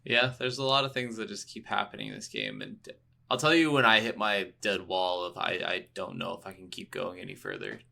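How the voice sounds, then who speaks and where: A man's voice: deep voice, wildly swooping pitch, fairly quick, creaky, bright, forceful tone. A man co-hosting a video game podcast in casual, unscripted chat, agreeable and easygoing. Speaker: podcast co-host reviewing video games.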